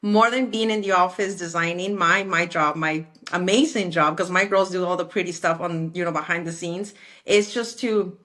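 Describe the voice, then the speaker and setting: A woman in her forties from Mexico, speaking as an interview guest, lively and acting out remembered conversations. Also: lowish voice, normal speed. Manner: animated, acts out quoted dialogue. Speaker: guest, architectural designer.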